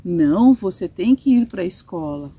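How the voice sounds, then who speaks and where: A woman reads as a brisk storybook aunt who is direct and insistent. The voice is mellow, with a Brazilian Portuguese accent and lively intonation. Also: on the low side, husky, pitched below the performer's own voice. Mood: firm. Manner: direct, firm.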